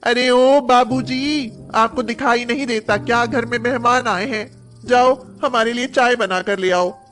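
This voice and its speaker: A high-voiced young housewife played by a woman from a moral story cartoon. She is bossy and haughty, nagging with sarcastic scorn and cruel impatience. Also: fairly even intonation, a little slow, fairly bright tone. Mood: harsh, scolding.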